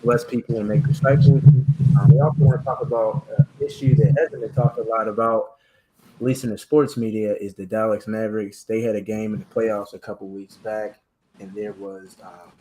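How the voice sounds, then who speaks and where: A man hosting a casual chat about sports and faith, talking in halting bursts with many fillers and restarts. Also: lowish voice, flat delivery, mellow, a little hiss or hum, low-quality recording. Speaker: podcast host.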